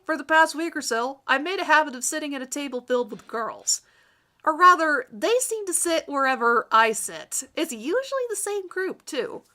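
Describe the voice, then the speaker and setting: Vain, smitten schoolboy schemer played by a woman, bright, higher-pitched delivery, overdramatic fan fiction narration. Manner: dramatic, overwrought emphasis. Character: lovestruck, vain teenage boy narrating his scheming crush. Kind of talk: melodramatic first-person fan fiction reading.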